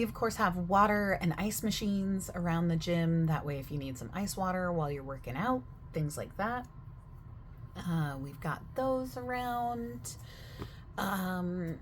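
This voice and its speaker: A young woman in a soft-spoken ASMR roleplay as a gym staff member, chatty and over-explaining with lots of fillers. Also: on the low side, a little hiss or hum.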